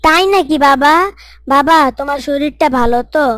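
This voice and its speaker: A woman's voice: sits high, normal speed, fairly bright tone, no echo. A woman voicing an eager young man. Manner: eager, friendly. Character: cheerful young man home for the holiday.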